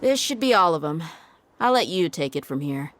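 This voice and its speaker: An actress playing a shrewd, confident desert mercenary in a video game cutscene, with a wry, matter-of-fact delivery. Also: female voice, low voice, big pitch swings, normal speed, a bit bright, slight background noise. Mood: businesslike. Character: shrewd, self-assured adult female desert mercenary. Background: background game music.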